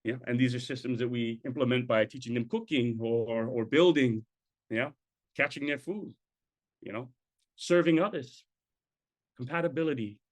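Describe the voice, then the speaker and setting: A middle-aged man, speaking through a webcam on a video call from an indoor room. He presents in a conversational way and checks in with his listeners as he talks. His voice sits at an ordinary male pitch. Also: moderate pace, long pauses. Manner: conversational, tag questions, sprinkles in Hawaiian words. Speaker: program presenter. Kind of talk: presenting a talk.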